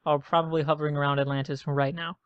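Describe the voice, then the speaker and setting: A man's voice: fairly high pitch, flat delivery, fast talker, clear, resonant tone, dry recording. A man co-hosting a casual podcast, talking bluntly with sarcasm and swearing. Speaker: podcast co-host. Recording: dull lo-fi dry sound.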